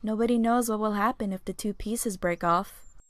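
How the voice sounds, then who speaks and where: A woman's voice: medium pitch, moderate pace, no room sound, clean studio sound, close to an everyday voice. A woman voicing a brash, sassy young female unicorn in a cartoon comic dub, blunt and scornful. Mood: scornful, urgent.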